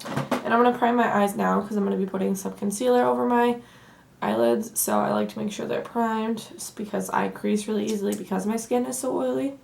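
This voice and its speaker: Hoarse young Southern woman, chatty. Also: mid-range voice, fairly quick, a little hiss or hum. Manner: chatty and relaxed, says "like" often. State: hoarse from allergies, losing her voice. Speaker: beauty vlogger. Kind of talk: casual get-ready-with-me tutorial.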